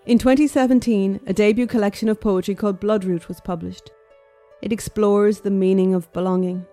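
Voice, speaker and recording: A woman narrating a documentary in a calm, scripted delivery. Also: medium pitch.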